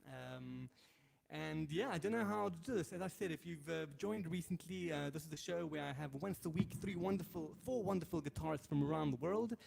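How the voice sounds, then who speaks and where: A man's voice: on the high side, brisk, creaky, a little mellow, slight background noise. A man hosting a live guitar stream from home, chatting loosely with viewers, thanking them and reading their comments aloud with lots of fillers and restarts. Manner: hesitant and rambling, many fillers and restarts. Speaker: livestream host and guitarist.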